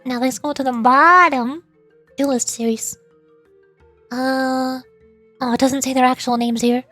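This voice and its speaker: A woman with a high-pitched voice chats casually as she streams a game. She reads things aloud and stumbles over difficult words. Soft music plays behind her. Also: steady tone, measured pace, dry recording. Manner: chatty, stumbles over hard names, reading chat aloud. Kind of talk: casual livestream chat while gaming.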